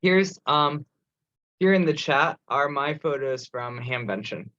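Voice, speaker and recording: A man's voice: on the high side, expressive, slow pace, sharp tone, no echo. Man on a dull-sounding call. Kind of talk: casual online meeting talk. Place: online conference call. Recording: muffled, band-limited conference call audio.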